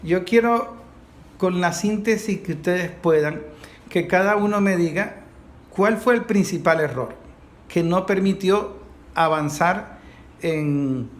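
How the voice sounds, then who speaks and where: A man's voice: high voice, slow, long pauses. A man with a Venezuelan accent moderating a discussion and putting probing questions as an interviewer.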